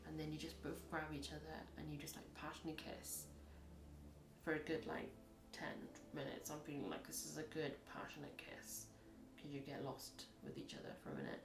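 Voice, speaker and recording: A woman reads tarot in a rambling, chatty way with a husky, low voice. She acts out little scenes as she goes. The room around her is echoey. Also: moderate pace, music in the background. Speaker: tarot reader. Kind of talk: casual tarot reading to camera.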